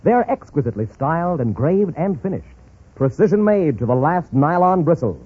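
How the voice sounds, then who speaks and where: A man's voice: on the high side, very animated, normal speed, husky. An American man reading a scripted old-time radio advertisement as a commercial announcer. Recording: tinny, phone-like old radio recording.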